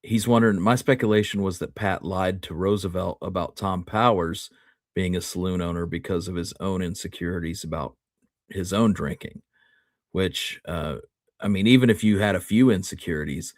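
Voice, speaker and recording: A man co-hosting a casual history discussion, speaking in a reflective, measured way and qualifying his opinions. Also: deep voice, dry recording, clean studio sound. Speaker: co-host of a history talk show.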